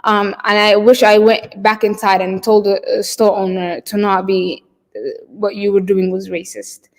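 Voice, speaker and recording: Woman, keynote storyteller; halting, filler-heavy delivery; long reverberant hall sound. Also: medium pitch, level tone. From Somalia. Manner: hesitant, many fillers and restarts. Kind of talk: personal storytelling.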